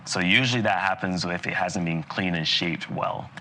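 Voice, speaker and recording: A man from Barbados giving a live continuing-education lecture on dentistry, talking in a relaxed, conversational way with plenty of fillers and asides. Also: sits low, moderate pace, a little hiss or hum. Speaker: dental lecturer.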